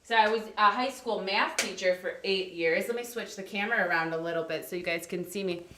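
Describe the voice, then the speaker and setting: A woman hosting a casual live cooking stream from her home kitchen, chatty and upbeat, with a loud teacher voice, picked up by a camera across the room. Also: mid-range voice, a little fast. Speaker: cooking livestream host and coach. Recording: echoey kitchen with faint noise.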